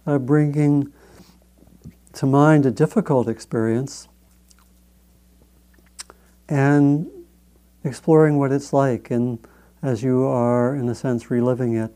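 Guy teaching meditation, really slow and mellow, gentle measured delivery w long pauses. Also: mid-range voice, clean tone. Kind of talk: guided meditation instruction.

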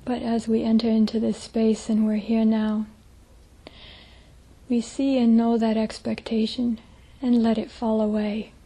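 A woman leading a guided meditation as a teacher, speaking gently in a soft, breathy, hushed voice with long pauses. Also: on the high side, flat delivery.

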